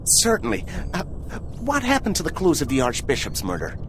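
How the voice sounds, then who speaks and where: A man's voice: a little high, wildly swooping pitch, brisk, background noise. A man voicing an eager, glib young male robot reporter in a video game, inquisitive and bouncy. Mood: cocky, glib. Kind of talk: scripted game dialogue.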